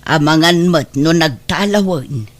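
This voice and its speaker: A woman playing a protective, sympathetic middle-aged godmother in a radio drama, expressive and concerned. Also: low voice, measured pace, dry recording. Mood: defensive, evasive. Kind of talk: Ilocano radio drama acting.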